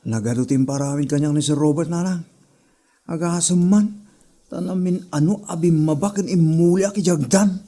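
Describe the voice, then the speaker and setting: A man's voice: on the high side, normal speed, warm tone, lightly acted. A man playing an obedient, put-upon young office IT worker, deferential and weary, in a comedy audio drama.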